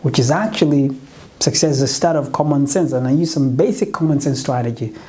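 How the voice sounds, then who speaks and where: Earnest Ugandan-born man, mellow voice. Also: medium pitch, brisk. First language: not English. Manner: earnest, restarts and corrects himself. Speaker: business coach. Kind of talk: motivational website pitch.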